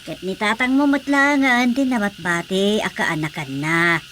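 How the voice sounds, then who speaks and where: A woman's voice: medium pitch, moderate pace, a bit bright, lightly acted. A woman playing a practical, fussing wife and mother with an Ilocano accent in a radio drama comedy. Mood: explaining. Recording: faintly noisy radio drama track.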